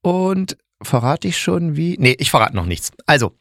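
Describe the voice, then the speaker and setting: A man's voice: a little high, sing-song, normal speed, vocal fry, fairly bright tone. A man hosting a solo podcast, reviewing in a chatty, colloquial way as if thinking aloud. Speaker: podcast host and radio drama maker.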